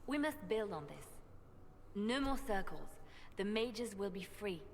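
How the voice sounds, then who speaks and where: An actress playing an idealistic woman spymaster who argues for reform with measured, persuasive conviction in a video game. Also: medium pitch, lively intonation. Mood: earnest, resolute. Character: idealistic, reform-minded woman spymaster seeking high church office. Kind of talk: scripted video game dialogue. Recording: long hall reverb, faint noise and ambience.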